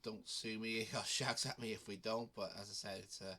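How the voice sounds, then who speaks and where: Deep-voiced man with a cold. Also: normal speed. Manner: relaxed, with frequent ums and restarts. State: has a cold. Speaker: betting channel host. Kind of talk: casual talk to camera.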